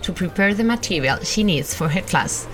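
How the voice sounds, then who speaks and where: Low-voiced woman narrating, calm. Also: faint music behind. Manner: measured, formal. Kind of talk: scripted narration.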